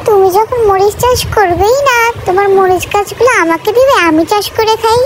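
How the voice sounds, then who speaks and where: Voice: extremely high pitch, fairly quick. A performer voicing a henpecked, timid male peasant creature in a comic cartoon, whiny and exclamatory. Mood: complaining. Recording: noisy cartoon soundtrack.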